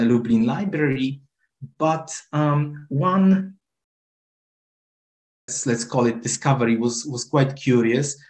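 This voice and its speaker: A man's voice: medium pitch, a little mellow. A man researcher answering on a panel, hesitant, with many ums, likes and repeated words. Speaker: researcher and librarian.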